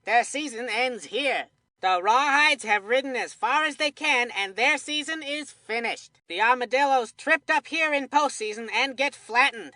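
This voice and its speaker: Kids' baseball game commentator: upbeat cartoon announcer, bright and forceful, punchy delivery, very dry studio sound. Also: female voice, sits high, moderate pace, no echo. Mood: dramatic announcing. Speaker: sports commentator. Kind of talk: scripted play-by-play sports announcing.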